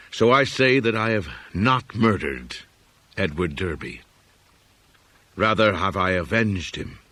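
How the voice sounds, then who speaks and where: A man with a low, somewhat husky voice reads a horror story aloud. His delivery is solemn and deliberate, in the measured style of scripted audiobook narration. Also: a bit bright. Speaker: audiobook reader.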